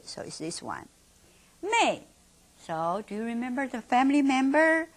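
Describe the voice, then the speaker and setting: A middle-aged woman with a Mandarin Chinese accent talks through a language lesson at a slow, careful pace. Her slightly high voice swings widely in pitch. She repeats each word and then answers her own questions. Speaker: Mandarin teacher. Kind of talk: slow teaching instruction with drills.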